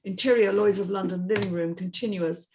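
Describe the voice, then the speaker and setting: A woman's voice: medium pitch, steady tone, a little fast, a little mellow, slight background noise. Female narrator for a script table read, middle-register voice, reads scene directions. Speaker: script reading host and narrator. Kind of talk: reading screenplay action lines.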